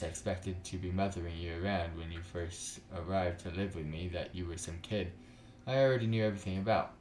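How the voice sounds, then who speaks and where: A man voicing a blunt, warm-hearted woman roommate in a visual novel, casual and reassuring. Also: sits low, roomy sound, slight background noise, low-quality recording. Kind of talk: visual novel character read aloud. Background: soft background music.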